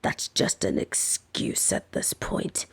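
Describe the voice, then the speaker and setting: A woman voicing a soft but dominant lamia captor in an intimate audio roleplay, breathy and often whispering, condescending and possessive. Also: lightly acted. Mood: seductive, taunting. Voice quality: breathy, often dropping into whispers and creaky low tones. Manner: slow, teasing, patronizing.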